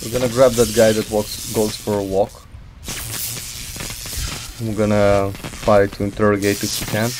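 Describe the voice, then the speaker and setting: Low-voiced man doing game commentary. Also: flat delivery, normal speed, long pauses, music in the background, low-quality recording. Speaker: gamer. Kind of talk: casual let's play commentary.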